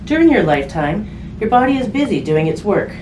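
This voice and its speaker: A woman's voice: medium pitch, big pitch swings, fairly quick. A woman reading a picture book aloud to children in a conversational way, with simple casual asides. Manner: conversational, simple phrasing aimed at children, with tag questions. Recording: roomy, distant mic with background noise.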